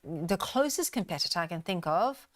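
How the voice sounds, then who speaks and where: A woman's voice: fairly low pitch, expressive, moderate pace. A woman hosting a live trading show, chatting through stock moves with many fillers and an upbeat manner. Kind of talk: live market commentary chat.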